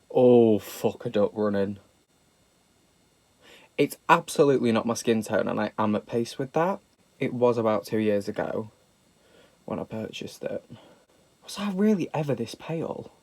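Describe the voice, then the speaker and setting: A man's voice: medium pitch, measured pace, long pauses, dark tone, no room sound. Young British man, nasal from a cold, camp and sweary, self-deprecating vlog chat. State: slightly nasal from a sniffle. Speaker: makeup vlogger. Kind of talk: casual makeup tutorial chat.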